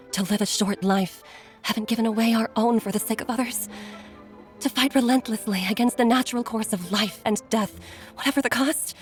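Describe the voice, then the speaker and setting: A woman's voice: medium pitch, lightly acted. A woman voicing a caring middle-aged doctor and mother who speaks earnestly with frequent sighs. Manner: earnest, sighing, concerned.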